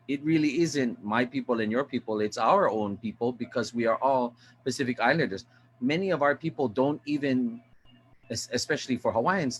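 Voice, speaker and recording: A man's voice: medium pitch, fairly bright tone, a little hiss or hum. A man on a panel discussion, speaking in an impassioned way and appealing directly to listeners.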